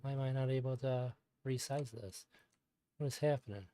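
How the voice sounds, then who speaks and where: A man casually commenting on an old stream. He calls his own voice higher-pitched, rambles, and swears now and then. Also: normal speed, dark tone.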